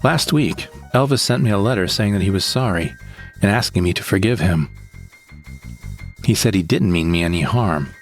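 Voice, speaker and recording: A man's voice: deep voice, lively intonation, moderate pace, soft background music. A man playing a frightened, bitter victim who recounts his ordeal in plain, short sentences for an audio drama monologue. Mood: wary, resentful. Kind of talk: first-person scripted audio drama monologue.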